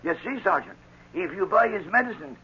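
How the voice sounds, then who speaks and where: A man's voice: sits high, sing-song, pushed and bright, a little hiss or hum, full character voice. A man playing an aggrieved French-Canadian storekeeper in broken English, in a 1940s radio drama. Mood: animated, earnest. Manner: broken English, drawn-out plaintive phrasing. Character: aggrieved French-Canadian backwoods storekeeper, excitable and plain-spoken. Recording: muffled, worn 1940s radio recording.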